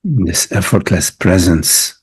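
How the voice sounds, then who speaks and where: Deep, husky, very slow male meditation guide. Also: animated. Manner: speaks in short phrases with long pauses. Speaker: meditation teacher.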